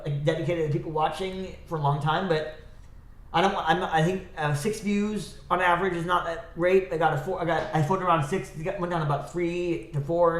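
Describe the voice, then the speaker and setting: A man talks fast and loose into his stream, stopping and restarting his sentences as his thoughts wander. His voice sits a bit high and sounds a little stuffy and scratchy. The room around him is echoey. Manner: rambling, halting, many restarts and self-corrections. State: stuffy nose, slightly scratchy throat. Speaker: livestreamer. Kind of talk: rambling solo livestream chat.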